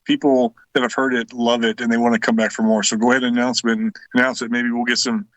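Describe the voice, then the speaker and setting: American guy from southern illinois just chatting, average pitch, dry sound. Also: little pitch movement, fast, fairly bright tone, no room sound, muffled recording. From Southern Illinois, United States. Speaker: guest, survival class teacher. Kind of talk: casual chat.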